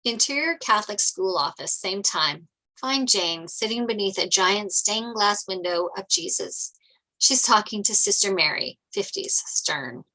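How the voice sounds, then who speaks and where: A woman's voice: mid-range voice, expressive, unhurried, pushed and bright, poor audio. A woman reading stage directions as scripted narration at a table read.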